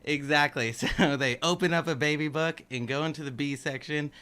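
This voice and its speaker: A young man from the Bay Area, a band's bass player, telling a funny story in a relaxed, joking way in a casual radio interview. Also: moderate pace. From San Francisco Bay Area. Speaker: bass player, band guest. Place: community station studio. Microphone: studio mic.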